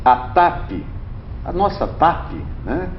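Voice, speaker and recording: A man's voice: on the high side, animated, slow pace, slight background noise. A man hosting a TV interview show, speaking, chatty and full of tag questions. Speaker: TV host. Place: TV studio. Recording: roomy, dull TV audio.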